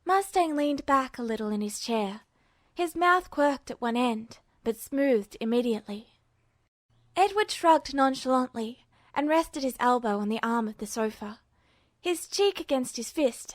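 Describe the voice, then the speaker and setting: A woman reading fan fiction aloud as a podfic, steady and even. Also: a little high, normal speed, dry recording, clean studio sound. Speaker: narrator.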